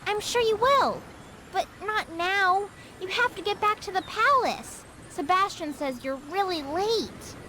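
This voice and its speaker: A woman voicing an eager, helpful and earnest young girl with magic in an animated game. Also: very high-pitched, a little slow, a little hiss or hum, full character voice. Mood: encouraging.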